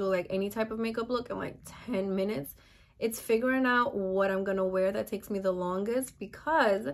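Chatty young New woman. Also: medium pitch. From Queens, New York, United States. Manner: informal and chatty, slangy. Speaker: lifestyle vlogger. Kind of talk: casual chat to camera.

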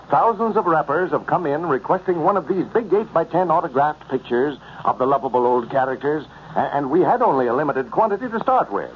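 An American man working as a 1930s radio announcer, delivering scripted sales pitches and narration. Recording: muffled, noisy old radio broadcast.

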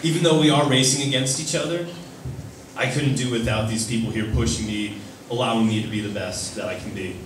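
A man's voice: medium pitch, a little fast. A young man speaks earnestly at a press panel, now and then groping for words. His plain mid-range voice comes from a distance and rings around a large, echoing room with faint noise. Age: young adult. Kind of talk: answering questions at a press conference. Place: large echoey indoor hall. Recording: echoey hall recorded from far off.

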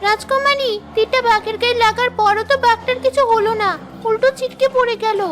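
A woman's voice: very high-pitched, fairly even intonation, a little fast, fairly bright tone, soft background music. A woman voicing a cautious, deferential young maid to a princess in a cartoon story, with an anxious manner. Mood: alarmed. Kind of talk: Bengali cartoon story dialogue.

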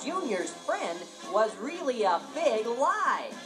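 Woman, children's story narrator, expressive, highish lively pitch, background music. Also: takes it slowly. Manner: dramatic, expressive reading.

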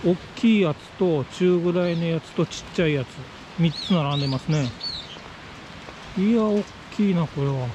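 A man's voice: fairly high pitch, moderate pace. A man making casual, murmured remarks to himself as he films a cycling vlog outdoors. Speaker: cycling vlogger. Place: outdoors on roadsides and on a bicycle. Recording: outdoor road noise, passing cars.

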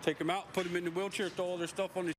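A man's voice: high voice, level tone, racing, a little hiss or hum. A middle-aged shelter worker interviewed outdoors, outraged and emphatic about what he has seen. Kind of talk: news interview. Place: outdoors by a brick building.

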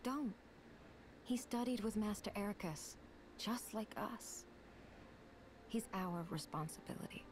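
An actress playing a gentle, composed young woman warrior in a game, speaking softly and earnestly. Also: medium pitch, unhurried, long pauses, background noise, close to an everyday voice. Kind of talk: dramatic game cutscene acting.

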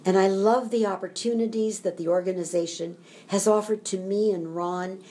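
A woman's voice: mid-range voice, steady tone, moderate pace, warm tone. An older woman, an acting teacher, reading a scripted first-person narration. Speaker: acting and tap dance teacher.

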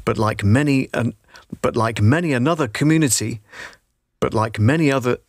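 A man's voice: mid-range voice, normal speed, dry recording. A middle-aged man reading scripted documentary-style narration into a close studio microphone in a booth. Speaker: voiceover artist. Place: voiceover booth.

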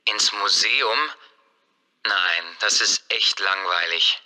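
A casual friend making plans, his voice bright and his pace very slow. Every word comes out deliberate and clear. A long reverb trails behind him. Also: low voice, steady tone, heavily acted. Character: casual adult man chatting with a friend.

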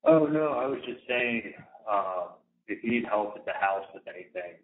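A man chatting casually on a phone call in a loose, halting way. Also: lowish voice, animated. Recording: tinny, radio-like jail phone line.

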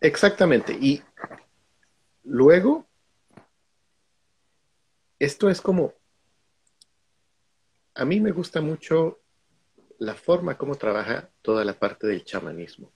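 A man's voice: a little high, animated, takes it slowly, creaky, pauses often, a little mellow, breathy edge. A middle-aged man from Guatemala, a guest on a video call, telling stories from his own life in a reflective way. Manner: reflective, storytelling. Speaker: guest speaker.

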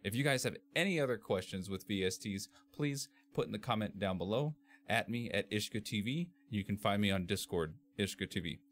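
Male tutorial presenter, bass-heavy and fairly low, reading out instructions step by step at a measured delivery. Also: expressive, a bit bright. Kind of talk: scripted software tutorial narration. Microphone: computer microphone, screen-capture voice-over.

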